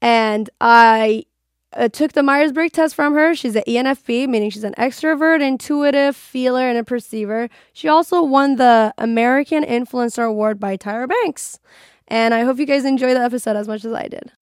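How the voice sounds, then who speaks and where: A young woman from Iran hosting a casual interview podcast, chatty and quick to react. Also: on the high side, smooth, no room sound, clean studio sound. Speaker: podcast host.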